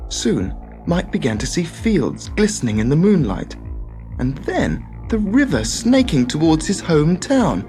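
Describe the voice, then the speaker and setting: Animated children's storyteller over music. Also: mid-range voice, unhurried. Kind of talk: dramatic scripted children's story narration.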